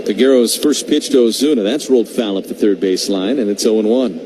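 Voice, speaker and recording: A man doing live baseball play-by-play on radio, talking in measured short phrases with conversational asides. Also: on the low side. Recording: clearly noisy live radio broadcast.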